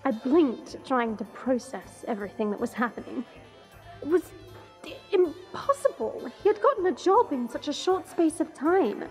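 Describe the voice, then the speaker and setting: A woman playing a haughty, irritable dragon princess who narrates her own story in a measured, scornful way. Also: high voice, big pitch swings. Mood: irritated, disdainful. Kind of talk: first-person story narration. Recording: music bed under the voice.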